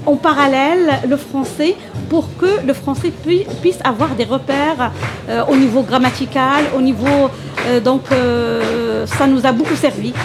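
A woman's voice: sits high, fairly even intonation, measured pace, over music. A middle-aged woman from Morocco, an Arabic speaker, answering interview questions hesitantly with many fillers. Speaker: language teacher guest.

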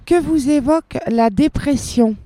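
High, mellow female interviewer. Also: unhurried. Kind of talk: short scripted interview questions.

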